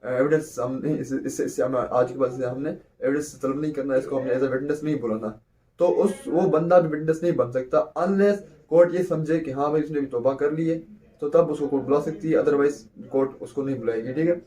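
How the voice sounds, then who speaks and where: Guy teaching law, mellow kinda high voice, sits a bit back from the mic, keeps restarting words. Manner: explains slowly, with frequent restarts and rhetorical questions. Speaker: law lecturer. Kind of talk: casual classroom lecture. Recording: voice a little far from the mic.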